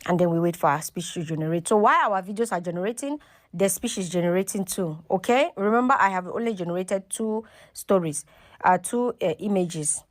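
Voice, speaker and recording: A woman's voice: fairly low pitch, brisk. A woman hosting a casual step-by-step tutorial, talking instructionally and often checking in with "okay" and "right". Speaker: tutorial host.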